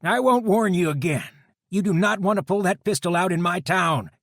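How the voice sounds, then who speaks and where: A gruff biker deputy who runs his town, as a male narrator puts him on, speaking in a raised, forceful register. He drawls his warnings with calm authority. The sound is dry and close. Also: high voice, normal speed, dry recording, pitched above the performer's own voice, exaggerated voice. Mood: commanding. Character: gruff biker deputy, middle-aged man, commanding.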